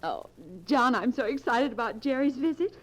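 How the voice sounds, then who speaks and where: An actress playing a gushing, affectionate young American wife in 1940s radio style, effusive and sweetly eager. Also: female voice, high-pitched, expressive, moderate pace. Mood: excited, fond. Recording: dull old radio recording.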